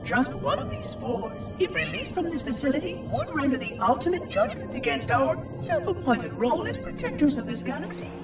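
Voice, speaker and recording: An echoing, very high, synthetic voice of an ancient robot caretaker. He speaks in formal, exact, theatrical phrases, fussy and dutiful yet curious and lonely, with sweeping intonation, like a log entry in a sci-fi video game. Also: huge pitch swings, brisk, hardly any pauses, exaggerated voice. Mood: solemn, regretful. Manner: precise, formal, theatrical enunciation. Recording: distant, echoing, music underneath. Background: music.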